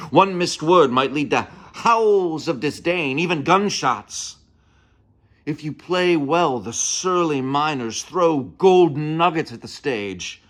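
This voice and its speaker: Guy playing an earnest young 1800s traveling actor telling his story, real slow and theatrical, weighty pauses, mid-range voice. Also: mid-range voice, a bit bright. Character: earnest young 19th-century touring actor recounting his youth. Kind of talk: dramatic first-person monologue.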